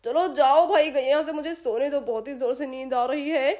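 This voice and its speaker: A woman's voice: high-pitched, steady tone, normal speed, dark tone, voice pushed down, lightly acted. A woman voicing a sleepy, grumbling father in a children's doll cartoon, drowsy and complaining.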